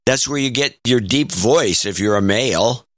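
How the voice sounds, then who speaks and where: A man's voice: mid-range voice, moderate pace, a bit bright, no room sound, studio quality. A man co-hosting a podcast in casual chat, blunt and opinionated, often breaking off and restarting. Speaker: podcast co-host.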